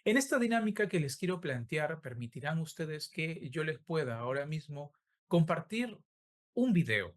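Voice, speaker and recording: A man's voice: on the high side, big pitch swings, moderate pace. A man giving an online law lecture over a video call, speaking with a sore throat and many hesitant filler sounds.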